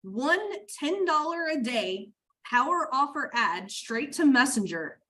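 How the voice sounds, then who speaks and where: A woman business coach hosting an interview, talking enthusiastically in fast bursts with lots of fillers. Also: high-pitched, big pitch swings, sharp tone. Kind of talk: interview chat.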